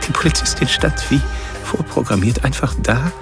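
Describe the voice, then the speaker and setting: An actor playing a cold, obsessive serial killer who confides his fantasies slowly and deliberately in a radio drama monologue. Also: medium pitch, gravelly, close to an everyday voice. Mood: cold, intent. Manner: slow, deliberate, self-absorbed confiding. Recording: voice over background music.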